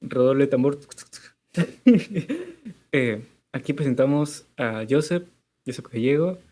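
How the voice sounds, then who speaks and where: A man's voice: medium pitch, unhurried, mellow. A man hosting an interview podcast, introducing his guest in an upbeat, playful way and asking questions. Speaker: podcast host.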